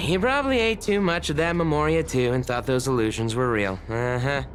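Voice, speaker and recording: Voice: mid-range voice, moderate pace, lightly acted. An actor voicing a chatty, weary, teasing researcher in a video game, with sighs and muttered asides. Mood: exasperated, mocking. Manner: expressive, sighing, muttering asides. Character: chatty, weary, teasing station researcher, a grumbling office senior. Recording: studio voice over game music.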